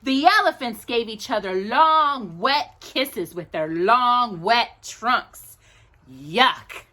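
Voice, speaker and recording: Animated, high-voiced woman reading children's stories. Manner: playful, drawn-out emphasis on key words; addresses a companion directly while reading. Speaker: storytime host reading a picture book. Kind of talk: animated children's story reading with chatty asides.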